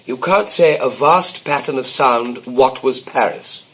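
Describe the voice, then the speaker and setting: A man's voice: medium pitch, measured pace, a bit bright, husky. An actor playing an irritable, self-important middle-aged novelist father in a period comedy, pompous and declamatory. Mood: exasperated. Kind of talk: period stage comedy radio acting.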